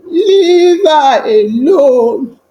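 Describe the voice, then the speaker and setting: A woman voicing a distraught, tearful young boy in a folktale, pleading in drawn-out repetition. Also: sits high, animated, warm tone, pitched above the performer's own voice, heavily acted. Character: distraught, tearful seven-year-old boy, muttering obsessively.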